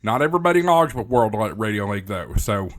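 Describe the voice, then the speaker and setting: A man's voice: lowish voice, lively intonation, fast talker. A man hosting a ham radio channel, talking in a casual, folksy presenter style straight to his viewers.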